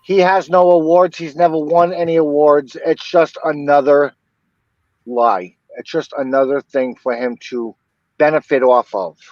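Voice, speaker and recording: Higher-voiced man, dull lo-fi sound. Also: steady tone, unhurried, darkish tone, no room sound. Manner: rambling, repeats himself. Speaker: interview guest. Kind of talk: casual commentary on a crime case.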